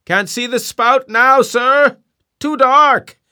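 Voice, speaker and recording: A man voicing a young sailor calling down from the masthead in high, strained shouts. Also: slow, clean tone, heavily acted. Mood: straining. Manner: high strained calls from far off.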